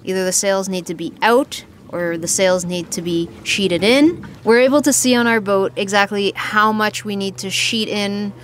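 A woman's voice: mid-range voice, normal speed, few pauses. Woman explaining sailing on a boat deck. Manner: explains with hand gestures, relaxed and practical. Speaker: sailing vlogger explaining basics. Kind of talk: casual explanatory talk to camera. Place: outdoors on the deck of a sailboat at sea. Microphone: handheld furry lavalier held near the mouth. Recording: faint wind and water noise.

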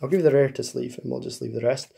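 A man's voice: medium pitch, fast talker, gravelly, mellow, breathy edge. A man hosting a card-opening video, chatting casually as he opens packs and explains pre-orders, recorded at a table indoors. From United Kingdom. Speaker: card-opening channel host. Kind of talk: casual pack-opening chat.

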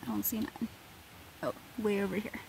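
A woman's voice: mid-range voice, fairly even intonation, normal speed, vocal fry, a little mellow, a little hiss or hum. A woman vlogging on a forest hike, musing as she points out spots on a map. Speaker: hiker vlogger. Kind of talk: casual on-trail commentary. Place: outdoors on a forest trail.

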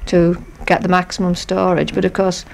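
Gravelly low-toned woman on old radio. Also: level tone, rough recording. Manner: agreeable, measured, murmurs often. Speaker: museum library staff guest. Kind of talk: radio interview. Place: radio studio. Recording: faint hiss on 1970s radio tape.

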